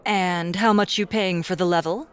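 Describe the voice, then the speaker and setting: A man's voice: high voice, steady tone, moderate pace, pitched above the performer's own voice, natural voice. A man voicing a greedy, pushy young woman fighter who haggles and makes demands, in an audiobook. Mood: wheedling.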